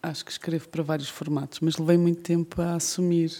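A woman's voice: low voice, little pitch movement, fast talker, dark tone. Woman, guest writer, reflective and playful, speaks on stage. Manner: thoughtful, playful, laughs often. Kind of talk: reflective conversation. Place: cultural centre stage before an audience.

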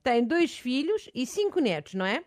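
A woman's voice: sits high, big pitch swings, moderate pace, no room sound, studio quality. A middle-aged woman with a European Portuguese accent hosting a phone-in show, effusive and encouraging with her caller. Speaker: host.

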